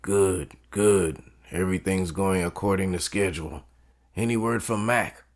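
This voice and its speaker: Man acting as deep, commanding mob boss. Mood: controlled authority. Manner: formal, measured, authoritative. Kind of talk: dramatic audio story acting. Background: background music.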